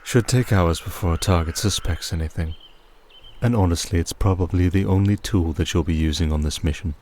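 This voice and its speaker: A man playing a cold vampire archduke and crime lord in an ASMR roleplay, speaking in a measured, formal, condescending way. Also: sits low, noisy background, lightly acted. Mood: composed, instructive. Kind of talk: ASMR roleplay audio drama.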